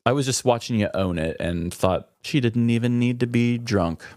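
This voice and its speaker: A man's voice: medium pitch, normal speed, darkish tone, dry recording, clean studio sound. A man co-hosting a podcast, telling stories in casual, conversational chat. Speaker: podcast co-host.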